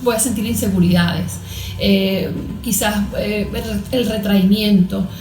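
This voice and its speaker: A woman's voice: medium pitch, fairly even intonation, measured pace, darkish tone. A Venezuelan woman, a therapist being interviewed, explaining things in an earnest, didactic way, point by point. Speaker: therapist and coach, interview guest. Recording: roomy echo.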